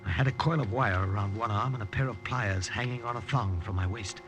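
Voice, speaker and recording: A man's voice: on the low side, brisk, natural voice. A man playing an earnest, brusque wartime saboteur in a 1950s radio drama, both acting and narrating. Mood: tense narration. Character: tense, brusque male secret agent saboteur. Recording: old radio recording, music behind.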